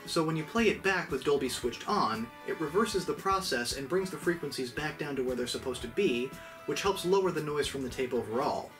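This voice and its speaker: A man in his thirties hosting an explainer video in a playful, animated way with casual asides. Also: fairly high pitch, brisk, soft background music. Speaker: video host. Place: small home room with hi-fi gear, indoors.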